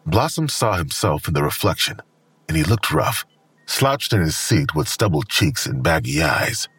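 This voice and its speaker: A male narrator with a deep, extremely husky voice reads scripted drama prose. His intonation moves up and down freely, and the recording is dry and close. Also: very animated, normal speed, fairly bright tone, no echo, faint music behind.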